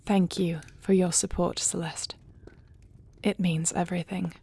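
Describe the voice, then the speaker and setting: A woman playing a gracious, earnest dragon shifter queen in a scripted audio roleplay, speaking in formal, measured diction. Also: lowish voice, flat delivery, creaky, warm tone, breathy edge, full character voice. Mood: tender, reflective.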